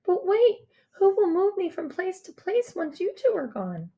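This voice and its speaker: A woman's voice: high voice, animated, normal speed, a little mellow, muffled recording, pitched above the performer's own voice. A woman voicing an anxious young girl in an audiobook, polite but fiery, with a pleading, emphatic way of talking. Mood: worried, urgent.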